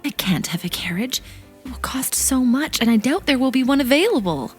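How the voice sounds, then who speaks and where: A woman playing a proper, fussy teenage elder sister in a period audio play, with a prim, expressive manner. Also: high-pitched, brisk, slightly rough, soft background music. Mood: pained, fretful.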